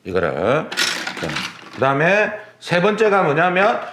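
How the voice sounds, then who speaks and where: Male exam-prep lecturer, very lively pitch swings, slightly high voice, roomy lecture recording. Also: slow pace, noisy background. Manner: animated, repeats key terms, demonstrative.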